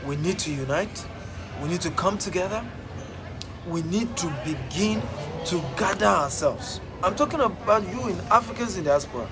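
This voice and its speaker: A high-voiced man with a Nigerian accent preaches his point with emphatic repetition, like a motivational speaker. Music plays clearly under his voice the whole time. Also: low-quality recording. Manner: emphatic, repeats phrases for effect. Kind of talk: motivational talk.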